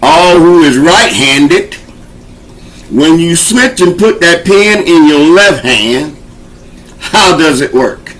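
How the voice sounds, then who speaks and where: Slow, high-voiced folksy preacher man. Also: animated, fairly bright tone, a little hiss or hum, rough recording. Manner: folksy, conversational preaching with call-and-response encouragement. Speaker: preacher and show host. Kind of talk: informal sermon teaching.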